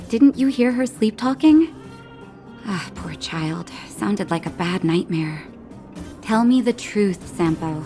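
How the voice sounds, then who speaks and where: A woman playing a caring, knowing doctor in a video game, gentle but firm, with music behind. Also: mid-range voice, animated, slow, long pauses. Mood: gently teasing. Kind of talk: scripted game cutscene acting.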